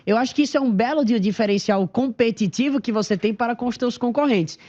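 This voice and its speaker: A man's voice: extremely high pitch, fast, darkish tone, muffled recording. A man with a Brazilian accent giving advice as a panelist in a casual discussion. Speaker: panelist, adviser.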